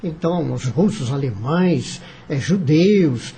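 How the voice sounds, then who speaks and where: A Brazilian man speaks in a notably high voice with a husky, unclear edge. His delivery is earnest and wordy, with formal phrasing, as in a reflective discussion. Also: lively intonation, slow pace, dark tone. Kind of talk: reflective discussion recounting a story.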